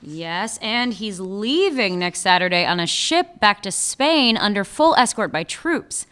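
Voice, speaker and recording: A woman's voice: mid-range voice, animated, a little slow, fairly bright tone, clear, resonant tone. A woman playing a sardonic police chief. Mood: guarded. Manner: dry, sarcastic. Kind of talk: radio play acting.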